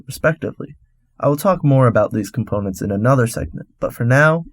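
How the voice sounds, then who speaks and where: A man presents a scripted radio explainer in a mid-pitched voice. He lays things out step by step in an instructional manner. The recording is close and dry, with little room sound. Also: dry recording. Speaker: radio host.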